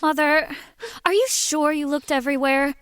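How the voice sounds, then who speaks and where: A woman's voice: high voice, fairly even intonation, normal speed, a bit bright, dry recording, clean studio sound. A woman playing a petulant, lovestruck young daughter, defensive and melodramatic, in a comic audio drama. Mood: timid. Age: young adult.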